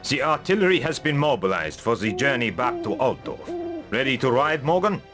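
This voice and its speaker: An actor playing a cocky second-in-command in a fantasy game, reporting in a brisk, wry military manner. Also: male voice, mid-range voice, very animated, music in the background, lightly acted. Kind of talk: fantasy video game cutscene acting.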